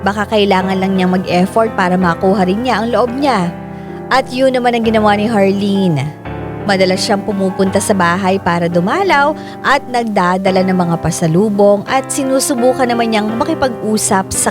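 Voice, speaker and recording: A woman's voice: mid-range voice, normal speed. A woman with a Filipino accent narrating a dramatized first-person story. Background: music.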